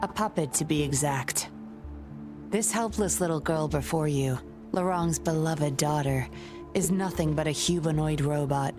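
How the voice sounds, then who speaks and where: A woman voicing a composed, secretive undercover investigator in a video game, speaking in a measured, guarded way. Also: sits low, close to an everyday voice. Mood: explaining. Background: game music.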